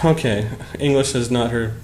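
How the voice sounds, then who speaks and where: A man's voice: medium pitch, a little slow, reverberant. A man giving a mocking dramatic reading with casual, amused, sarcastic commentary. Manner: amused, sarcastic, often breaking into giggles. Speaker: commentary show host.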